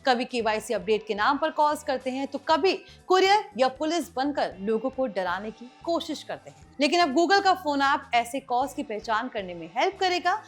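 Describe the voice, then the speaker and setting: A woman's voice: high voice, very animated, normal speed, few pauses. A woman with an Indian accent hosting tech news, explaining scripted items in a presenter's manner. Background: background music.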